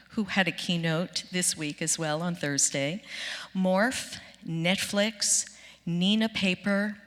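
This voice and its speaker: Slow, measured woman introducing speakers. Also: on the low side, a bit bright. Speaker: event host introducing speakers. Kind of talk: formal introduction read from notes. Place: large auditorium stage. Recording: echoing auditorium with long reverb.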